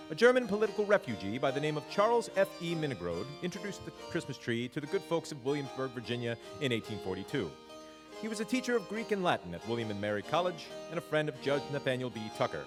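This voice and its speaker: An actor playing a genial, fumbling father who tells Christmas stories in a live stage audio drama. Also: a little high. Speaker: father and narrator. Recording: live hall recording with long reverb.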